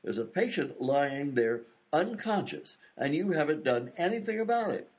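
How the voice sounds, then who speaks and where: A man's voice: on the high side, very animated, moderate pace. A man playing a worried, exasperated visitor, insistent and incredulous, as the straight man in a radio comedy sketch. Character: exasperated, worried man pleading for his unconscious friend.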